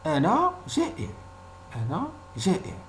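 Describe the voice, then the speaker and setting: A man's voice: fairly high pitch, huge pitch swings, normal speed, a bit bright, slight background noise. A man with a Moroccan accent, a native Arabic speaker, giving an informal language lesson as a teacher, explaining didactically and repeating example phrases.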